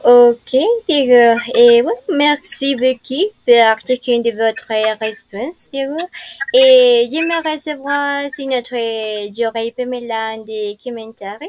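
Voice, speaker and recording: Slow-spoken woman interviewer, dry sound. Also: fairly high pitch, a little mellow, no echo. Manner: slow, polite, formal questioning. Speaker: interviewer in a mock admission interview. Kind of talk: role-played job interview.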